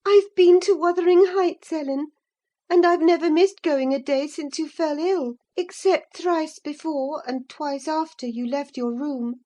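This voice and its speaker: A woman's voice: high voice, unhurried, warm tone, pitched above the performer's own voice. A woman reading aloud and voicing an impulsive teenage girl who pleads breathlessly and earnestly. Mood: confessing.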